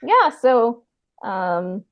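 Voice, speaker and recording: A woman hosting a casual podcast chat, talking haltingly and often trailing off. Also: on the high side, big pitch swings, dry recording, dull audio. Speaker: podcast host.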